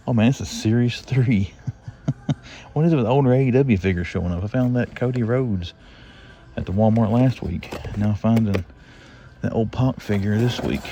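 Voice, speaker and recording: Gravelly Southern man browsing toy shelves. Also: medium pitch, noisy background. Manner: chatty, rambling, talks to himself while browsing shelves. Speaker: toy collector vlogger. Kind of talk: casual walk-through vlog. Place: retail store aisles.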